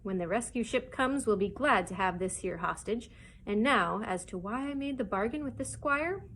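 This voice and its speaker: A woman's voice: mid-range voice, lively intonation, moderate pace, fairly bright tone, slight background noise, low-quality recording. Woman playing a blustering, wily pirate captain. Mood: blustering, persuasive. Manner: rough sailor talk, blustering and cajoling. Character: bold, wily pirate captain, adult man, commanding.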